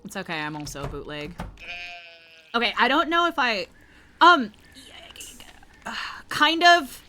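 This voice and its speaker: A woman's voice: fairly high pitch, sing-song, measured pace, bright, forceful tone, a little hiss or hum. A woman streamer whose first language is Vietnamese, chatting casually while she plays, rambling, swearing and putting herself down. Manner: profane, rambling, self-deprecating. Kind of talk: casual gaming stream chat.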